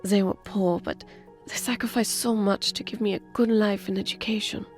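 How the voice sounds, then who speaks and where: A woman playing a gentle, earnest and hesitant young lady in a romantic audio drama. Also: mid-range voice, steady tone, moderate pace, faint music behind, close to an everyday voice. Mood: sympathetic, earnest. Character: sweet, shy, earnest young woman, a seamstress.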